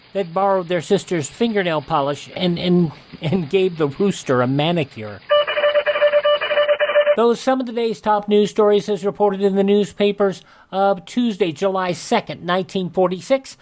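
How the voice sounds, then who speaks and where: A man's voice: sits high, darkish tone. A man hosting a radio show, reading scripted news in a narrator's style.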